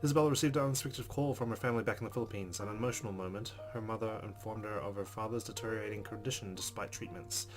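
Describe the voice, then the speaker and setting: Someone playing a visual novel, reading narration out loud and chatting, guitar music behind. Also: medium pitch, racing, hardly any pauses. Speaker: let's player reading game narration aloud. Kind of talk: reading story narration with casual asides.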